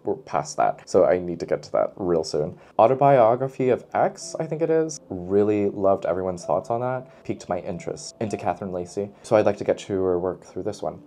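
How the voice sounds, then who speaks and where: A man's voice: fairly low pitch, mellow, slight background noise. A man talking casually to camera as a book vlogger, playful and emphatic, often repeating a phrase for effect.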